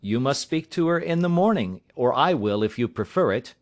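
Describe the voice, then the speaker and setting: A man's voice: mid-range voice, expressive, moderate pace, natural voice. A man doing a worried young daughter. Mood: concerned. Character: anxious young woman, dutiful daughter.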